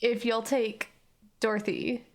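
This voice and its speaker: A woman's voice: a little high, little pitch movement, takes it slowly. A woman on an actual play podcast, narrating improvised roleplay in a casual, hesitant way with lots of "like".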